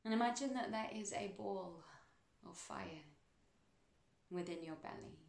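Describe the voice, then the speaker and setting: A woman guiding a meditation in a soothing, unhurried manner, with measured phrases and pauses between them. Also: medium pitch, creaky, darkish tone, reverberant. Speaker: meditation guide. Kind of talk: guided meditation narration.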